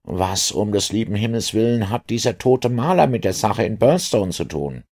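An eager police inspector in a detective audiobook, acted out by a male reader. His voice is lifted well above the reader's deep one and is a bit husky. He sounds earnest, puzzled and respectful, full of questions. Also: animated, normal speed, darkish tone. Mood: puzzled, impatient.

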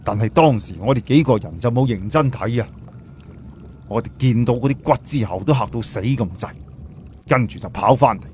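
An elderly Cantonese village chief played by a male storyteller speaks in a medium-pitched voice. He is earnest and proud, reminiscing plainly in an audio story. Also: moderate pace, darkish tone, a little hiss or hum, close to an everyday voice. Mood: reminiscing, assured.

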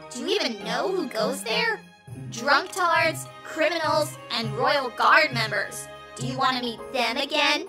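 A woman's voice: high-pitched, lively intonation, a little slow, music in the background. A woman voicing a small, bossy, cynical cartoon flower in a comic dub, snippy and sarcastic. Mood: agitated.

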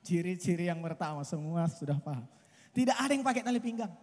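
Young guy from doing stand-up, lively and chatty, handheld mic, voice ringing out with reverb. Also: sits high, fast. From Medan, Indonesia. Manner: chatty, animated storytelling with acted quotes. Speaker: stand-up comedian. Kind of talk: stand-up comedy set. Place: outdoor stage at a night event.

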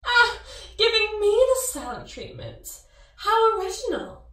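A woman's voice: extremely high pitch, sing-song, a little slow, a bit bright, full character voice. A woman playing a sly, seductive, mocking mirror alter ego in an ASMR roleplay, cooing and teasing. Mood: coaxing, cutting. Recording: echoey, distant-sounding voice.

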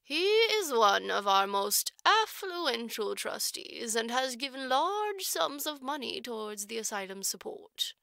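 A woman voicing a prim, condescending orphanage matron in an audiobook, with slow, formal, drawn-out delivery. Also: on the high side, very animated, vocal fry, pushed and bright, dry recording, clean studio sound, heavily acted. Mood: affable, self-important. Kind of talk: audiobook character voice.

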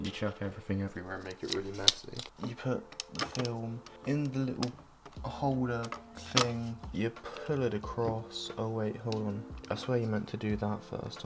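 A young man with a croaky, just-woken voice, rambling casually through a home vlog with lots of restarts. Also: on the low side, very noisy. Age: young adult. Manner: rambling, self-deprecating, restarts a lot. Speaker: film photography vlogger. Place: small home office room. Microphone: desk mic in front.

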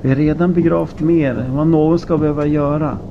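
A man's voice: a little high, steady tone, gravelly, muffled recording, noisy background, voice pushed up. A man voicing a poor, starving peasant wife in an audiobook, defiant and weary, speaking with emphatic pleading. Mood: weary, earnest.

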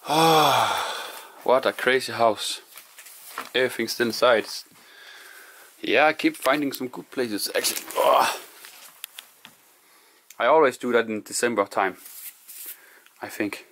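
A man narrating quietly to camera as he explores an abandoned house, musing in hushed. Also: mid-range voice, measured pace, pauses often, slight background noise. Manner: hushed, musing, non-native English phrasing. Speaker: urban explorer vlogger. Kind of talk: casual narration while exploring.

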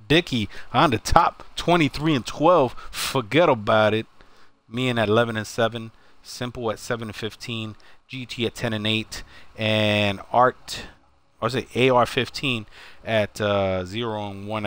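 A man's voice: fairly low pitch, a bit bright. A man commenting on a live shooter game, chatty and full of wiseguy catchphrases, greeting teammates and viewers by name. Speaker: game streamer.

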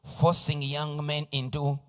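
A middle-aged man with a Ugandan accent preaching a sermon emphatically in short phrases, pausing for an interpreter, into a handheld microphone. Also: on the high side, monotone, fairly bright tone. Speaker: pastor. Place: large stage in a church hall. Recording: reverberant hall PA sound.

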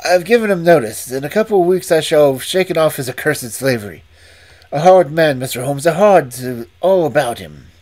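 Frightened male estate manager, put on by a reader, out of breath, agitated, emphatic, lively pitch. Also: a little fast, close to an everyday voice. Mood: bitter. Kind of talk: audiobook reading.

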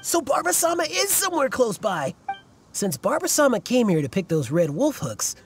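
A man's voice: extremely high pitch, sing-song, brisk, faint music behind, full character voice. A man voicing a devoted, fretful young fan club leader in a video game, speaking earnestly and dramatically, with some hesitation. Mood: anxious, puzzled. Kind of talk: video game character dialogue.

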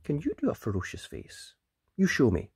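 A woman's voice: deep voice, wildly swooping pitch, moderate pace, darkish tone, breathy edge, no room sound, clean studio sound. A woman hosting a children's read-aloud, questioning young listeners and reacting expressively to the pictures. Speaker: children's story reader, host.

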